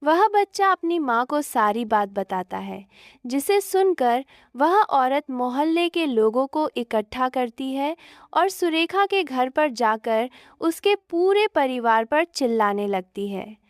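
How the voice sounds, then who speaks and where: A woman's voice: sits high, animated, slow pace, dry recording, studio quality. A woman narrating a scripted moral story as its storyteller.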